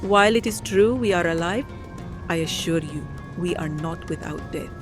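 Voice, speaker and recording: An actress playing a proud, distrustful vampire matriarch in a dark fantasy audio drama, formal and cutting. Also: female voice, lowish voice, normal speed, over music, natural voice. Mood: guarded, sardonic. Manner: imperious, biting, formal.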